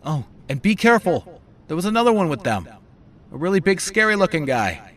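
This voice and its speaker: A man's voice: fairly high pitch, very animated, a little fast, fairly bright tone. A man voicing a timid, grateful young man in a comic dub. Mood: nervous.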